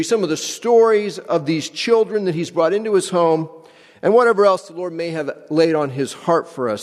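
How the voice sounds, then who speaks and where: A man introducing a visiting pastor to a church congregation, smooth and welcoming. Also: fairly high pitch. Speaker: church member introducing a guest. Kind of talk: introduction of a guest speaker. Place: church sanctuary. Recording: echoey church hall.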